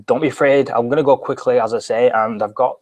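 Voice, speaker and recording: A young man with a British accent hosts a live online session from a small bright office. He talks energetically and gestures with his hands, often restarting his phrases mid-sentence as he goes. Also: medium pitch, fairly even intonation, fast talker. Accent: British English. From Britain. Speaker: webinar host. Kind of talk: live webinar presentation.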